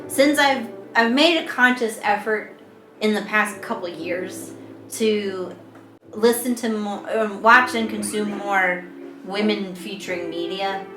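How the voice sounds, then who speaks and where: A young woman with a high, squeaky voice, co-hosting a podcast in casual chat, laughing easily and restarting her sentences. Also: slow. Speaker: podcast co-host. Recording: roomy echo with music playing behind.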